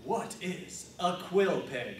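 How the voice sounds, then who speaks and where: A booming, showy male game-show host as a storyteller acts him out, stretching words with announcer emphasis. The voice swings widely in pitch and rings through a very echoey, noisy room. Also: animated, far from the mic. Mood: showy, excited. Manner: announcer-like, drawn-out emphatic delivery.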